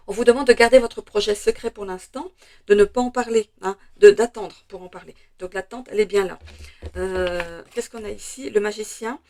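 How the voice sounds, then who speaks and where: A French woman with a slightly hoarse voice, chatting through a tarot card reading with many hesitations and fillers. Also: medium pitch, steady tone, normal speed, darkish tone. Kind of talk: casual weekly tarot reading.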